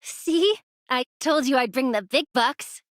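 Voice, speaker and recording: A woman voicing an earnest, excitable young character in an anime game, speaking expressively. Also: high voice, measured pace, dry recording. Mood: puzzled.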